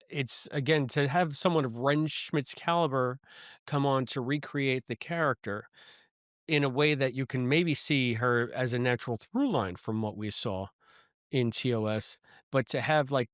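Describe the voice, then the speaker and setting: A man co-hosts a casual review podcast. He rambles eagerly through his points, stumbling and restarting as he goes. His mid-pitched voice is recorded very dry, with no room sound. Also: moderate pace, a bit bright, no echo. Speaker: podcast co-host.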